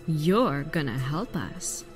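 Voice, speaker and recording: A woman's voice: deep voice, expressive, takes it slowly, faint music behind. A woman voicing a sly animal villainess in a cartoon comic dub, teasing and sassy. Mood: impatient.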